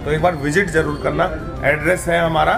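A man's voice: on the high side, little pitch movement, a little fast, gravelly. A man in his thirties chatting casually to viewers in a selfie vlog, filmed on a camera held at arm's length, with a jokey, direct way of talking. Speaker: vlogger. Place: shops, outdoor grounds and a home. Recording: loud music and crowd behind.

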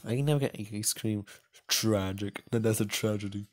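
A man's voice: fairly low pitch, moderate pace. Young man joking through a game playthrough. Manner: jokey, reads lines theatrically with playful asides. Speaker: gaming video host. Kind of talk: casual playthrough commentary, reading visual novel dialogue aloud.

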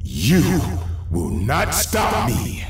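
A man playing a menacing, unkillable monster in an audio horror drama, speaking in creaky, grandiose, ominous pronouncements. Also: medium pitch, wildly swooping pitch, drawn-out words, soft background music, full character voice. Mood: wrathful. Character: menacing unkillable male monster, slow and wrathful. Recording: noisy, heavily echoing, distant sound.

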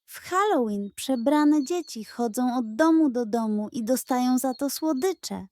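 A woman's voice: high voice, expressive, measured pace. Woman doing a chirpy know-it-all goat. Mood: cheerful. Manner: bright and chirpy, teasing. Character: cheerful, know-it-all young female goat.

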